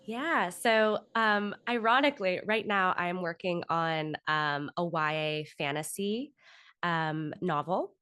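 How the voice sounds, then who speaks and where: Low-pitched American woman, dry close sound. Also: slow pace, bright, forceful tone, smooth, dry recording. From Florida, United States. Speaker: podcast guest, author and actor. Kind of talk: conversational interview answers.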